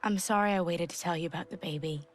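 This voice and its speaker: An actress playing a young woman writing to her soldier, speaking softly and apologetically. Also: fairly low pitch, fast talker, a little hiss or hum, close to an everyday voice. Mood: tender. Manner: soft, apologetic, intimate. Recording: voice-over with music behind.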